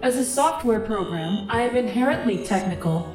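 A literal-minded female software assistant voiced by an actress from a sci-fi radio play. She speaks in a formal, exact, deadpan manner, slightly high. The sound is roomy, with clear music underneath. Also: normal speed, recorded from a distance, natural voice. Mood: formal, neutral. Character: literal-minded female computer assistant managing a home and office. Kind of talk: audio drama acting.

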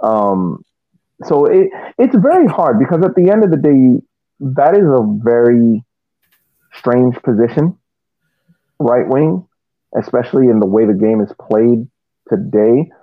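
A man co-hosting a podcast, giving casual sports analysis in halting, reflective short phrases over a narrow, thin connection. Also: medium pitch, lively intonation, dry recording. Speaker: podcast co-host.

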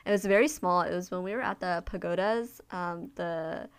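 A woman's voice: fairly low pitch, normal speed, smooth. Young woman, casual chat, reflective and hesitant storytelling. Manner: reflective, hesitant, many fillers.

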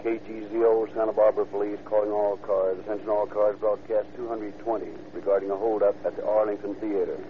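A noisy, phone-like vintage radio signal carries a man as a male police dispatcher. His voice is fairly low. He reads bulletins in a brisk, procedural, official manner. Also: close to an everyday voice. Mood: official, urgent. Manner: flat, procedural.

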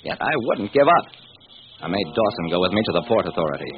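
Driven police detective narrator, fast and wry, vintage radio crime serial with heavy hiss. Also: male voice, deep voice, big pitch swings. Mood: insistent. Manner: hard-boiled, wry. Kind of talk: old-time radio crime drama narration. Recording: dull, noisy vintage radio recording.

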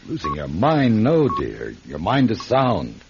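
Deep, animated, reassuring doctor. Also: male voice, a little slow, full character voice. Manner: reassuring, gentle, reasoning. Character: calm, caring male doctor and suitor, reassuring. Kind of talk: radio drama acting. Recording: dull lo-fi radio recording, clear noise.

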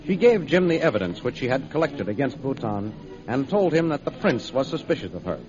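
A man's voice: medium pitch, sing-song, a little fast. A man announcing and narrating a 1930s radio serial from a script in a dramatic, declamatory way. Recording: muffled old radio recording. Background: music.

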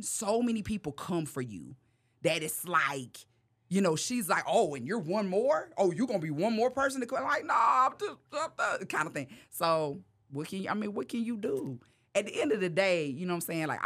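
A woman comedian telling stories in a casual interview. She laughs often, fills her talk with "you know what I mean", and jumps into high voices when she quotes herself. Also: big pitch swings, normal speed, fairly bright tone. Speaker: comedian guest.

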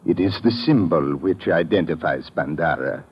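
An actor playing a pompous, serene fake mystic in a 1950s radio drama, solemn and grand, with a gravelly voice. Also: male voice, deep voice, lively intonation, normal speed. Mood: lofty, serene. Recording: muffled, noisy vintage radio recording.

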